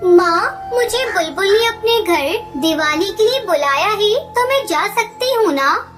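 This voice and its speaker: Poor little girl bird for kids' cartoon, woman performer: tiny sky-high voice, headstrong but sincere, over faint music. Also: expressive, a little slow, a bit bright, full character voice. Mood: pleading. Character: poor young girl bird, earnest and stubborn. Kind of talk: children's cartoon dialogue. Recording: music under the voice.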